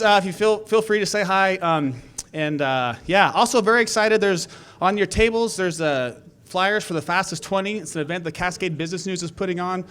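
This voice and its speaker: A man giving informal, somewhat halting announcements to a gathering as a speaker at an event. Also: sits high, fairly bright tone, smooth. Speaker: event sponsor speaker, banker.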